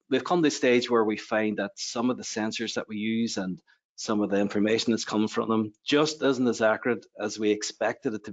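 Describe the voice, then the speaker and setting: Irish male lecturer, lowish voice, explanatory panel delivery, slightly muffled online audio. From Ireland. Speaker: university lecturer, webinar panelist. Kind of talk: explanatory presentation. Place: online webinar. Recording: dull, lo-fi webinar audio.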